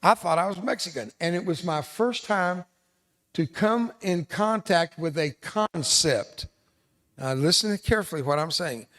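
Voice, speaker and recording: An older man from South Texas, a Spanish speaker from childhood, delivers an emphatic motivational talk to an audience. His voice sits a little high, and he drives his lessons home by repeating them. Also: expressive, measured pace, a bit bright. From South Texas, USA. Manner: emphatic, repeats key lines, addresses audience directly. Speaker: veteran speaker, resiliency trainer. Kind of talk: motivational speech.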